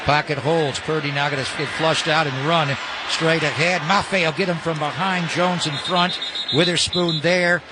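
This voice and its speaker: Loud stadium noise surrounds a fairly high-voiced American man. He calls live football for radio in clipped, present-tense phrases, as a play-by-play announcer does. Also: steady tone, moderate pace. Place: stadium broadcast booth.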